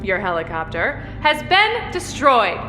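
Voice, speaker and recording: A woman's voice: medium pitch, huge pitch swings, unhurried, pushed and bright, heavily acted. An actress playing a vengeful, triumphant woman in an audio drama, dictating terms to her enemy in a taunting, theatrical way. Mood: menacing, triumphant. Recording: echoing long reverb over music.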